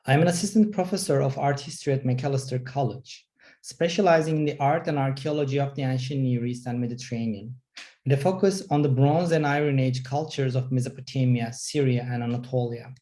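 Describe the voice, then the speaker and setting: A man in his forties from Turkey, an art history professor giving a formal prepared self-introduction in a measured, steady way. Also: mid-range voice.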